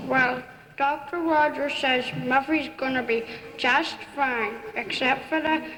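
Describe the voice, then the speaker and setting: A woman voicing a gentle young vet's assistant in a live puppet show, speaking slowly in halting, simple phrases. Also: sits high, fairly even intonation. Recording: echoey hall, faint noise.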